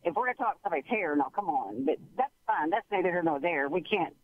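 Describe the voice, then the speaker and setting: Woman, caller, rambling and halting, lively high voice, phone-line sound. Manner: halting, rambling, interrupts herself. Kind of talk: call-in radio conversation.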